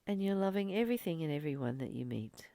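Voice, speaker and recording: A woman giving an informal spiritual talk as a teacher, earnest, phrasing things with deliberate, emphatic pauses. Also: deep voice, expressive, warm tone, no room sound. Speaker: spiritual teacher leading a daily course study.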